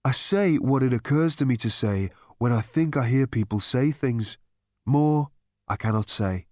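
A man voicing a gentle, vague philosophical hermit in a storybook, speaking politely in a mild, detached, musing way. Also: medium pitch, unhurried, no room sound, clean studio sound, natural voice.

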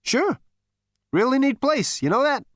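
A man voicing a brash, smug male adventurer who boasts loudly and drawls his words, in a dry-sounding audiobook reading. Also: very high-pitched, very animated, unhurried, dry recording, voice pushed up, full character voice. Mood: cocky. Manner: loud, cocky, drawling boasts.

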